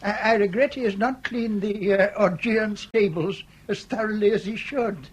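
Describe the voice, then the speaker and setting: Husky, obsequious Victorian manservant. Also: male voice, high voice, normal speed. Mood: deferential, evasive. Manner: hesitant, ornate deferential phrasing. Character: obsequious, evasive Victorian manservant, deferential and glib. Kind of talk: British period radio comedy drama.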